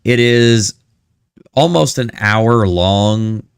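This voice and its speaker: A man's voice: on the low side, very slow, smooth, no echo, studio quality. A man hosting a live stream with casual, joking commentary and asides to his chat.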